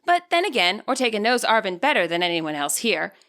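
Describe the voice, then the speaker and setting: A woman reading scripted present-tense fiction as an audiobook narrator, with an expressive storyteller delivery. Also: mid-range voice, fairly quick.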